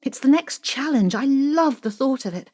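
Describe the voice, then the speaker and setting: A woman's voice: high-pitched, expressive, dark tone. A cutting, proud middle-aged woman, swooping intonation. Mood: self-assured. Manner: brisk, assertive, dismissive. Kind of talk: audio drama acting.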